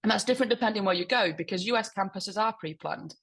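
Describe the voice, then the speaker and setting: A woman with a croaky voice from a cold, speaking fluently on a webinar panel about her public affairs work. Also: medium pitch, quick pace, a bit bright. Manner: fluent, corporate explaining. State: hoarse with a cold.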